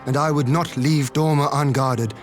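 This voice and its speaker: An actor playing a resolute, courteous young lord in a fantasy video game, speaking in formal, measured, archaic phrases. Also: male voice, on the high side, steady tone, lightly acted. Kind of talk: scripted fantasy game cutscene acting. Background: prominent orchestral game music.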